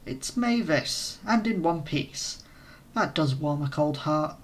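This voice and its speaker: A man's voice: on the high side, animated, takes it slowly. A man voicing a formal commanding older man in a game read-aloud. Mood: relieved.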